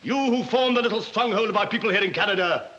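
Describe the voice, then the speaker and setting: An actor playing a fanatical Nazi German officer giving a fervent, grandiose speech in a 1940s film. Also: male voice, high-pitched, fast talker, bright, forceful tone. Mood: exalted. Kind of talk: theatrical political oratory in a 1940s film. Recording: dull 1940s film soundtrack.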